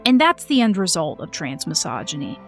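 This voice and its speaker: Earnest low gravelly woman narrating. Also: huge pitch swings, faint music behind. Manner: earnest, measured. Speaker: video essayist. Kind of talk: scripted video essay narration.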